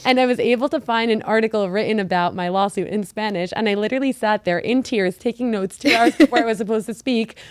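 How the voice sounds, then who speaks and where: A young woman from Mexico co-hosting a casual chat podcast, telling her stories in a chatty, animated way. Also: on the high side, quick pace, few pauses, dry recording. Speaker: podcast co-host.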